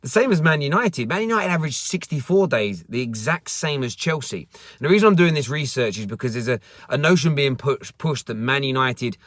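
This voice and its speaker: A man's voice: mid-range voice, expressive, brisk, fairly bright tone, dry recording, studio quality. Chatty man streaming from his car. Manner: chatty, repeats words and restarts, reads out viewer comments. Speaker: football channel host. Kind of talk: casual live stream chat. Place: parked car.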